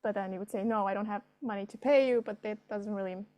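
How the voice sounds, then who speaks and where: A woman's voice: mid-range voice, fast talker, a little mellow. A woman, answering interview questions conversationally and thinking aloud as she goes. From Serbia. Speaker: filmmaker being interviewed.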